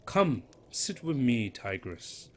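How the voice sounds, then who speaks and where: A man playing Solomon, a cryptic stranger who watches people from a tavern corner and speaks in slow, leading questions. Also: medium pitch, big pitch swings, slight background noise.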